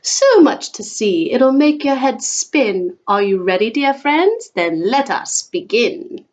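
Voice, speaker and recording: A flamboyant storybook shopkeeper , acted out by a woman, proud and showy. He welcomes people with theatrical flair, his pitch lifted a little above everyday talk. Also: very animated, slow pace, a little mellow. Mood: grand welcome. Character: flamboyant showman dinosaur store owner, a man, grand and proud.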